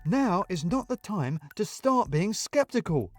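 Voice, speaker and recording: A man's voice: high voice, sing-song, measured pace, no echo, faint music behind, voice pushed up, exaggerated voice. A man voicing a flustered man who stays incredulous and exasperated, in a storybook reading. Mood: urgent. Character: exasperated flustered man trying to stay calm.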